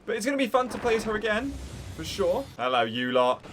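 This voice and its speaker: A man's voice: high-pitched, very animated, fast talker, noisy background. A man hosting a casual game playthrough, chatty and enthusiastic as he reacts aloud. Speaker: gaming video host.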